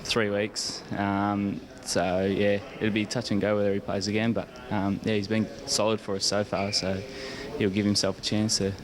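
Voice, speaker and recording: Young Australian male athlete, lowish voice, casual halting interview answers, clear crowd noise behind. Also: completely flat delivery. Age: young adult. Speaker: Australian rules footballer being interviewed.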